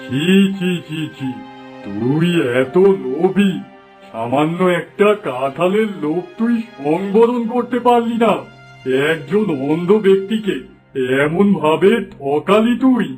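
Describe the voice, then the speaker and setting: Man as village elder, fairly high male voice, stern, scolding, moral cartoon, backing music. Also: lively intonation, takes it slowly, a little mellow. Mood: reproachful. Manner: scolding, admonishing. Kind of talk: Bengali moral folktale cartoon dialogue. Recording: music under the voice.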